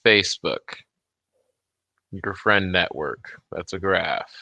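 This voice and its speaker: A man's voice: deep voice, takes it slowly, vocal fry, sharp tone, no echo. A man in a casual chat, explaining things offhandedly, self-deprecating and swearing casually. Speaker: guest, software developer.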